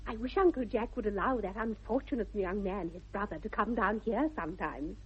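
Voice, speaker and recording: A woman's voice: medium pitch, brisk, husky. A woman acting an artless, willful eighteen-year-old English girl, girlish and ingenuous, in a 1960s radio comedy. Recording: thin, phone-like vintage radio recording.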